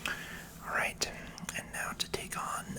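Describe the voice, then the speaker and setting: ASMR gaming commentator. Breathy whisper throughout, casual and unhurried, musing softly through the play. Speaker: video game player and commentator.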